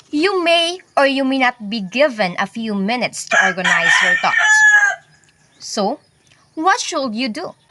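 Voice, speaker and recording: A woman teaching a recorded lesson, explaining step by step and listing points slowly. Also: mid-range voice, bright, forceful tone. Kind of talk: recorded lecture narration.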